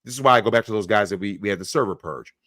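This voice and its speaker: A man's voice: fairly low pitch, racing, a bit bright, no room sound. A man giving blunt opinions on a livestream, talking emphatically, swearing and acting out the people he quotes. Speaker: guest.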